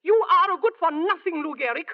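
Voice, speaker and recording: Casting: stubborn, proud immigrant mama, high voice, emphatic 1940s radio style, muffled old recording. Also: female voice, fairly quick. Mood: bitter, scolding. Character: proud, stubborn immigrant mother, disapproving of baseball. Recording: dull vintage radio broadcast recording.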